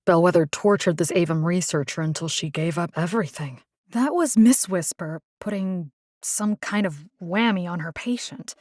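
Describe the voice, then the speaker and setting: A woman's voice: on the low side, normal speed, darkish tone, dry recording, clean studio sound, natural voice. An actress voicing a wry, determined, compassionate young woman who hunts monsters in a video game, speaking conversationally with muttered asides. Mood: uneasy surprise. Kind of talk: video game character voice lines.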